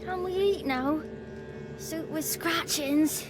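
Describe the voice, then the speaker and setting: A small peasant child in a fantasy game, high-voiced and slow. The kid is timid but impish, talking haltingly, with music behind. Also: female voice. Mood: naive, defiant. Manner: simple, halting childish speech. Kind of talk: video game character acting.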